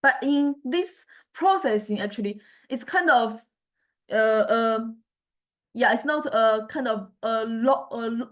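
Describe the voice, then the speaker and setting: A woman giving an informal, explanatory lecture, often searching for words and checking in with listeners as she goes. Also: fairly high pitch. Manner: halting, searching for words, frequent checking "right?".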